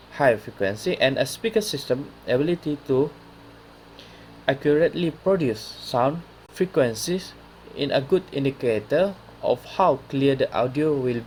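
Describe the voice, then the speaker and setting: A young man with a Malaysian accent gives a scripted student presentation, halting and stumbling over words. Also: medium pitch, a little mellow, slight background noise, poor audio. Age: young adult.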